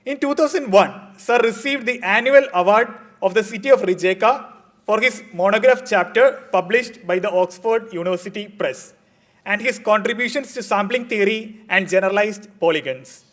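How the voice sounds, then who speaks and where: A man reads a formal introduction in a measured, scripted manner. His voice is notably high for a man. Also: a bit bright. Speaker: conference emcee. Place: conference hall.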